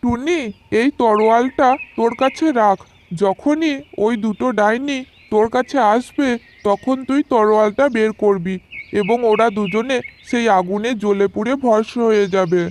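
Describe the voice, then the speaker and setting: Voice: mid-range voice, moderate pace, a little mellow, slight background noise, lightly acted. A performer voicing a stern holy hermit who grants magic in a cartoon fairy tale. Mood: solemn.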